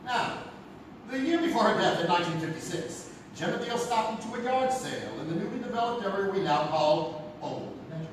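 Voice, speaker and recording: Ominous high-pitched actor as male narrator, echoey stage. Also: lively intonation, a little fast. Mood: ominous, measured. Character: ominous male narrator of eerie local legends. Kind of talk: dramatic scripted narration of a spooky anthology tale. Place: theatre stage, live show. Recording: echoey theatre stage, distant mic, noisy.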